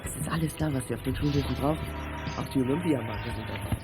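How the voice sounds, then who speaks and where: A man's voice: medium pitch, quick pace, lightly acted. Man acting a nervous young accomplice over music. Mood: uneasy. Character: nervous young male schoolboy accomplice to petty crooks. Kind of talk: German radio play for young listeners.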